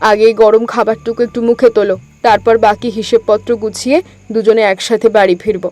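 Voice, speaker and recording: A woman voicing a caring younger sister in a family cartoon drama, gently scolding her brother. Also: fairly high pitch, fairly even intonation, fairly quick, soft background music, close to an everyday voice.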